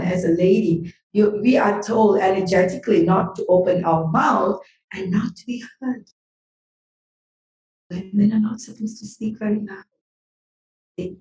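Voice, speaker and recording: A woman's voice: mid-range voice, a little mellow. Woman guest talking earnest and emphatic about energy and healing, mic kinda far off. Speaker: podcast guest, business school owner and healer. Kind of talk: reflective personal sharing. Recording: roomy sound from a distant mic.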